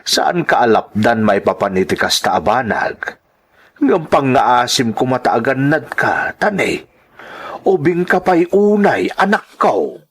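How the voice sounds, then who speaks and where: A man playing a worried, protective widowed father in an Ilocano radio-style drama, grave, deliberate and pleading. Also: medium pitch, sing-song, slightly rough. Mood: tender concern. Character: worried, protective widowed father advising his grown daughter.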